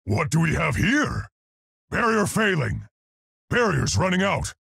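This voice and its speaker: A man voicing a large, earnest, polite and brave gorilla scientist for a video game, gruff and articulate in his delivery. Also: sits high, sing-song, measured pace, a bit bright, no room sound, studio quality, exaggerated voice. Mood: agitated, shouting. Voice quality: gruff, husky, gravelly. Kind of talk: video game character voice lines.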